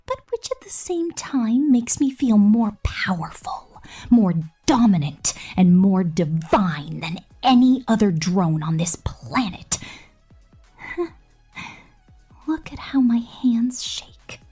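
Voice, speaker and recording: A woman voicing a possessive, cold female killer drone robot in a breathy, slow, menacing whisper for an ASMR roleplay. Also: mid-range voice, lively intonation, warm tone, faint music behind.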